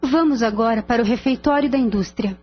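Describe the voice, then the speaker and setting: A woman's voice: fairly high pitch, brisk, lo-fi sound, soft background music, close to an everyday voice. A woman playing a spirit teacher who leads her class in a radio drama. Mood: instructive.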